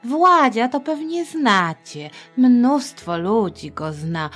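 Slow, playful woman storyteller. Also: a little high, expressive, fairly bright tone, faint music behind. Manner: chatty, theatrical, deliberate asides. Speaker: storyteller, podcast host. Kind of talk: playful history storytelling for children.

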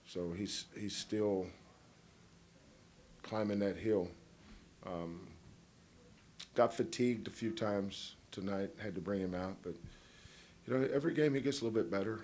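A man coaching a basketball team speaks at a postgame press conference, his voice pitched on the low side. He talks in a folksy, rambling way, reaching for homespun analogies and gentle teasing. Faint room noise sits under his words. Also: measured pace, pauses often.

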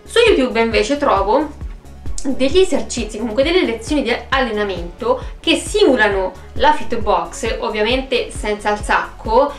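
A woman talks in a fairly high voice, chatty and expressive. She sounds like a vlogger addressing the camera casually from home. The room rings noticeably around her, and some music plays behind. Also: normal speed. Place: small room at home, indoors. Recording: roomy, echoey home recording.

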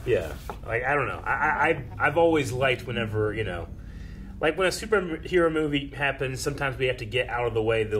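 A man in his thirties, the host of a movie reaction show, chatting casually in a studio and often breaking into excited laughter. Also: lowish voice, a little hiss or hum. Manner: animated, laughing, restarts often. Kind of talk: casual chat. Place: studio room with shelves and swords.

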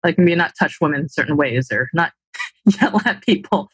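A woman's voice: low voice, lively intonation, moderate pace, husky, no room sound, rough recording. A woman in her thirties with a New Jersey accent, a guest on a video-call interview, explaining confidently and tagging her points with questions. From New Jersey, USA. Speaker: podcast guest, tech founder. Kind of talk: casual interview chat. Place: indoor room at home.

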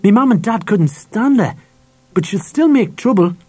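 Man playing a blurting schoolboy, pitched up. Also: lively intonation, moderate pace, dark tone, husky, no echo. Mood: upset, defiant. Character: stubborn, guarded working-class northern schoolboy.

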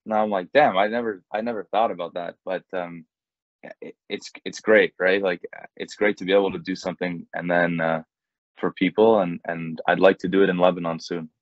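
A young man from Canada, a guest on a video call, telling stories in a relaxed, chatty way. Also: sits low, brisk, no room sound. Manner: relaxed and chatty, acts out quoted exchanges. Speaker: guest, content creator. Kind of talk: casual storytelling in an interview. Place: indoors, small plain room. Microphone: earbuds on a video call. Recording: dull, dry video call audio.

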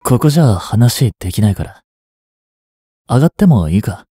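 A man in his twenties playing a reluctant, guilt-ridden young hitman in an audio drama, softly spoken and halting. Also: medium pitch, big pitch swings, slightly rough, no room sound, studio quality. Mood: feigned casual. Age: 20s. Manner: halting, subdued delivery. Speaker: voice actor. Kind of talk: Japanese audio drama monologue and dialogue.

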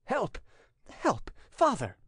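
Man playing an earnest, high-voiced young condemned son. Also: big pitch swings, moderate pace, no echo, clean studio sound. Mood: urgent. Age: young adult. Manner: earnest, entreating, emotional. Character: young condemned son, noble, tender, resolute. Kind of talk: dramatic audiobook character reading.

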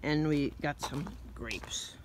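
A teenage boy vlogging on a walk down a quiet street, joking and rambling into a phone held at arm's length. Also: fairly high pitch, takes it slowly, background noise. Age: teens. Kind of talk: goofy walking vlog.

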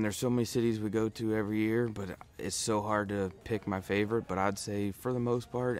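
A man in his thirties, a monster truck driver, speaking in an easygoing, enthusiastic way in an interview. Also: on the low side. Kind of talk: interview answer.

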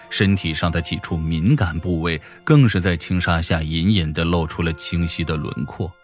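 Man, very deep voice, audiobook narration, fantasy novel, music underneath. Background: music behind the voice.

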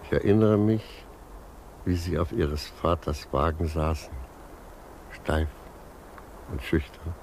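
An actor playing a gentle, philosophical old judge in a 1950s German radio play, reflective and earnest in manner. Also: male voice, rumbling low, slow, pauses often, full character voice, rough recording. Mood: wistful. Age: older. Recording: 1950s radio recording, faint noise.

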